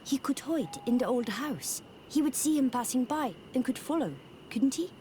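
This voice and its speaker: A woman playing a gentle, thoughtful young village daughter in a video game, speaking simply and earnestly. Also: high voice, animated, normal speed, a little hiss or hum. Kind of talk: video game dialogue acting.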